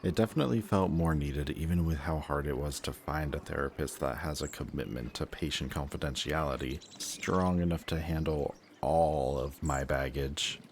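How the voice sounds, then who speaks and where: Man acting as male reformed antihero, extremely deep voice, remorseful, affectionate, hesitant confiding delivery, intimate roleplay audio, some background noise. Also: normal speed, few pauses. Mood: confiding, wry. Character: reformed antihero best friend, remorseful, affectionate, emotionally open.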